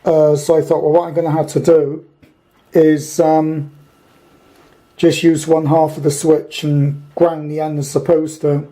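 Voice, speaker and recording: A man with a soft, mellow tone and a slightly high pitch talks through a repair job at his workbench. He is casual and unscripted, rambling a little as he thinks aloud in a matter-of-fact way. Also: level tone, a little slow. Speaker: hobbyist radio repairer presenting his video. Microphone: handheld camera, speaker behind it.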